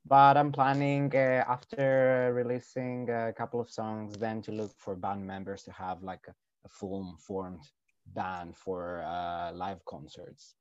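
A man with a Greek accent, a musician guest speaking English as a second language, halting with many fillers and frequent laughs. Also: on the low side, little pitch movement, dry recording, dull audio. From Greece. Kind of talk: casual interview.

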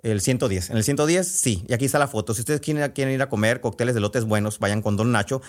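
A man with a Sonoran Mexican accent hosting a podcast, chatting casually in a folksy, halting way with asides as he reads out messages. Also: mid-range voice. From Sonora, northwest Mexico. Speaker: podcast host and storyteller. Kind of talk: casual chat, reading out listener messages.

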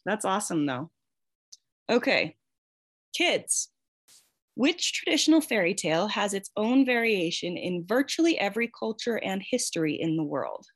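A woman's voice: mid-range voice, big pitch swings, moderate pace. A woman hosting a quiz as guest quizmaster in a casual, encouraging way.

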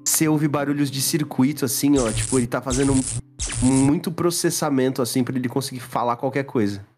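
A man's voice: medium pitch, fairly quick, darkish tone, faint music behind. A Brazilian man chatting casually as a player in a tabletop role-playing game. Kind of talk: casual, joking game chat.

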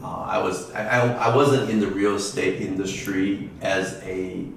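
Low gravelly man, echoey and far. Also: fairly even intonation, a little hiss or hum. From Taiwan. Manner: halting, many ums and restarts. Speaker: real estate attorney, interview guest. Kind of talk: casual interview answers. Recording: distant echoey mic with music behind.

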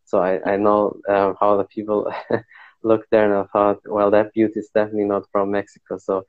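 A man's voice: on the low side, completely flat delivery, brisk, narrow, tinny audio. A man hosting a podcast interview, asking questions in a friendly, chatty way with many fillers. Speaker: podcast host.

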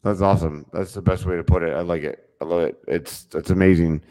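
A man's voice: low voice, fairly even intonation, fairly quick, a little mellow. Upbeat, rambling man hosting. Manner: upbeat and rambling, heavy on fillers. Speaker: podcast host, real estate agent. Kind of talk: podcast interview hosting.

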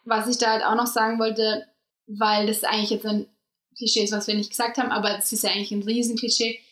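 A young woman with an Austrian accent, co-hosting a podcast in lively, casual conversation. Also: a little high, quick pace, echoey room. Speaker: podcast co-host. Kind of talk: casual chat.

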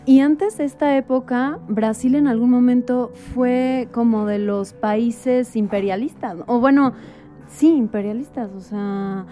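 Woman interviewer, higher pitch, dark relaxed tone, casual chat, informal questioning, music underneath. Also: unhurried. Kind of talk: casual chat, asking and confirming.

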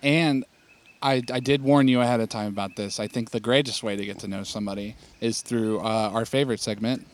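A man's voice: on the low side, fairly even intonation, brisk. A man hosting a casual podcast interview outdoors, chatty and joking with his guest. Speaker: podcast host, pastor. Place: outdoors in nature.

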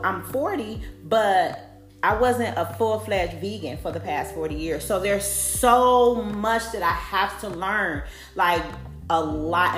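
A woman's voice: mid-range voice, fairly bright tone, roomy sound. A woman in her forties hosting a podcast, talking candidly in a blunt, profane, conversational way with lots of "like". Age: 40s. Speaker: podcast host. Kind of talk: candid personal monologue.

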